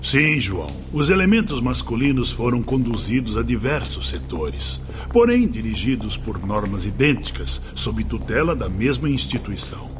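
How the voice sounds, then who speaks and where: An actor playing a stern male spirit instructor who lectures his students gravely in a radio drama. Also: mid-range voice, very animated, moderate pace, few pauses, noisy background, lightly acted. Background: music.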